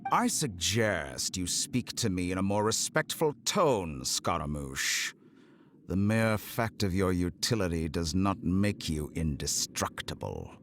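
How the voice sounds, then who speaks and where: A man's voice: low-pitched, expressive, measured pace, a bit bright, slight background noise, heavily acted. A man voicing a cold, sinister scientist villain in a video game, formal and menacingly courteous, over music. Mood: threatening. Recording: music under the voice.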